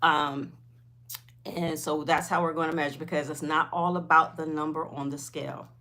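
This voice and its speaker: A woman's voice: sits low, fairly even intonation, moderate pace, pushed and bright. A woman, chatting informally on a livestream and replying to viewers, often repeating herself. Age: 50s. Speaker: host of a weight loss livestream.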